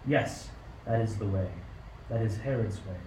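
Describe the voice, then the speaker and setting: A commanding, scheming old king of radio drama, acted by a man. He speaks in a mellow, deliberate, regal way. The sound is roomy and a little distant, with faint noise behind it. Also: on the low side, natural voice. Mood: bitter, plotting. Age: older. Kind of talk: amateur radio play acting. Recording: roomy, distant mic with background hiss.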